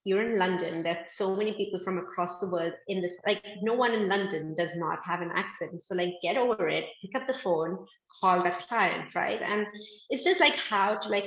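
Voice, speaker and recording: A woman's voice: fairly low pitch, dull audio. Chatty woman from India, roomy sound. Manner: chatty, many "like" fillers, upbeat. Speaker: guest, fashion manufacturing founder. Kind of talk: casual interview chat.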